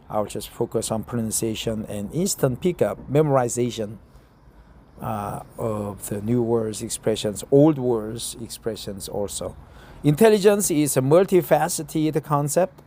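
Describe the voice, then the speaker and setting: Korean-accented man reading slowly, many fillers. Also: mid-range voice, mellow. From South Korea. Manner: hesitant, many uh fillers and self-corrections. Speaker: vlogger reading an article aloud. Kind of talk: casual self-recorded English reading practice.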